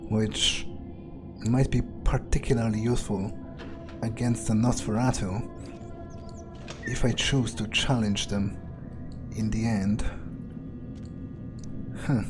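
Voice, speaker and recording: A man giving in-character gameplay commentary, thinking aloud in a musing, hesitant way with pauses mid-phrase. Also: fairly low pitch, fairly even intonation. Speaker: gamer doing an in-character playthrough. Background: game music.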